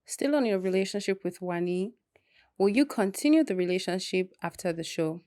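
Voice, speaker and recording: A woman's voice: medium pitch, animated, moderate pace, mellow, dry recording. Woman interviewer, dry close sound. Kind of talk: reading interview questions.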